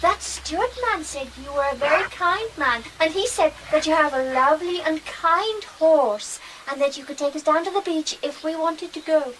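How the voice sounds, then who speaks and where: A woman acting an eager, chatty young girl in a period radio drama, childlike, excitable and outspoken. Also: high voice, moderate pace, hardly any pauses, slight background noise, low-quality recording.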